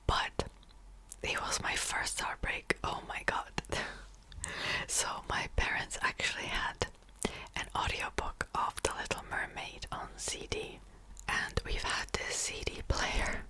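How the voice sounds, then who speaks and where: Young woman whispering asmr close to the mic, slow and kinda bright. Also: rough recording. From Czech Republic. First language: Czech. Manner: soft unvoiced whisper, rambling with small laughs. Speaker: ASMR creator. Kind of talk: whispered ASMR reading wrap-up.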